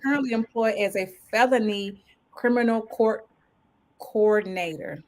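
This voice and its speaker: A woman from Ohio who hosts a casual interview show and talks in an upbeat, lively, enthusiastic way. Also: on the high side, moderate pace, poor audio. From Cincinnati, Ohio, USA. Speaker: show host.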